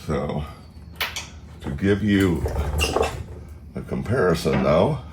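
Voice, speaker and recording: A man's voice: very deep voice, expressive, slow pace, background noise. A man with a stuffed-up nose from allergies, hosting a casual how-to vlog in his garage and thinking aloud with fillers. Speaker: car channel host. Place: home garage beside a car.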